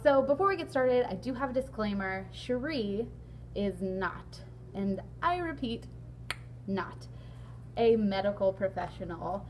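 A young woman with a hoarse, raspy voice from losing it, chatting casually as host of a sit-down interview vlog with lots of ums. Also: mid-range voice, lively intonation, unhurried, a little mellow, a little hiss or hum. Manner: chatty, frequent ums, laughs along. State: hoarse, lost voice. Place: large indoor hall.

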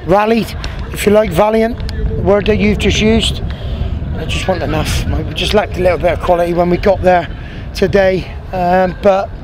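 A man in his thirties with an English accent, a football manager speaking frankly after a match, repeating himself and restarting phrases, recorded pitchside in an outdoor stadium. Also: moderate pace, crowd noise. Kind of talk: post-match interview. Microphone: handheld interviewer mic, medium framing. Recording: outdoor noise and passing traffic.

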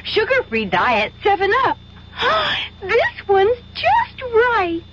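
An actress playing a cutesy, grown-up fairy-tale taste tester in a radio advert. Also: female voice, very high voice, huge pitch swings, takes it slowly, exaggerated voice. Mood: cute, delighted. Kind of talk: 1970s radio advert acting. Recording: dull, faintly noisy radio recording.

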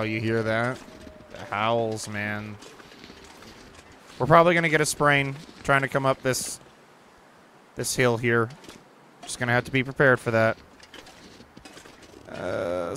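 A young man giving casual, chatty commentary over a survival game, reacting out loud and swearing now and then. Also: medium pitch, a little hiss or hum. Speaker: gaming channel host. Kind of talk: casual let's-play commentary. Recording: faint game ambience behind.

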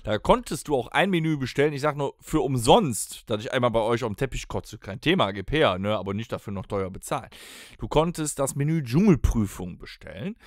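A man's voice: mid-range voice, animated, fairly quick, dry recording. A man co-hosting a podcast in casual, blunt, colloquial talk, close to a studio microphone. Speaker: podcast co-host and band musician.

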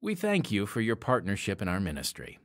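A man's voice: on the low side, wildly swooping pitch, brisk, dry recording, clean studio sound. A man hosting, making an earnest, conversational donation appeal to listeners. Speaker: host.

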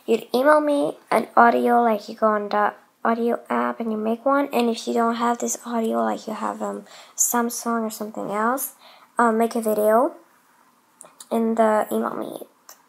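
A woman's voice: a little high, fairly even intonation, moderate pace, gravelly. A young woman making a casual announcement to viewers in a hesitant, rambling way, often repeating herself. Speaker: host of a fan voice-acting channel.

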